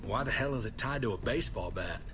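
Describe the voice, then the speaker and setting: A man acting a gruff, hot-tempered, foul-mouthed survivor in a dramatic video game. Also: medium pitch, expressive, quick pace, noisy background, natural voice. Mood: bitter, sarcastic. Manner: blunt, sarcastic, profane. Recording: music behind the voice.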